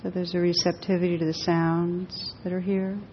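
A woman leading a guided meditation for a group, speaking gently in short, unhurried phrases with long pauses. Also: level tone. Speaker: meditation teacher. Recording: dull echoing hall recording, faint rumbling noise.

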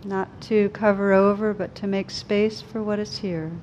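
A woman leading a guided meditation, speaking gently and soothingly in slow, unhurried phrases with pauses. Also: mid-range voice, little pitch movement, a little hiss or hum, low-quality recording. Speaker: meditation teacher.

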